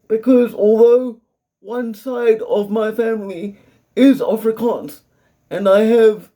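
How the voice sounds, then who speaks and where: A young man with a South African English accent, earnestly explaining his situation in a personal video monologue, measured and careful. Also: sits high, dark tone. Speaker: vlogger.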